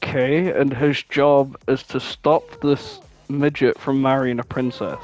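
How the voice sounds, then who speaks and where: A man's voice: mid-range voice, level tone, measured pace, a little mellow, soft background music. A man chatting casually as a podcast co-host, informal and prone to swearing. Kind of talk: casual chat, guessing game.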